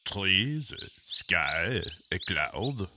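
A man playing a bewildered, grumbling French painter with a French accent and halting, exclamatory speech, in an old-time radio comedy. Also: deep voice, big pitch swings, sharp tone, slight background noise, exaggerated voice. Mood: puzzled.

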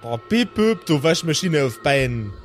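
A man's voice: fairly high pitch, sing-song, normal speed, soft background music. A man playing a grumpy, stubborn, abusive woman who rants and hurls insults in an improvised audio play. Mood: belligerent. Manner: ranting, insulting, belligerent.

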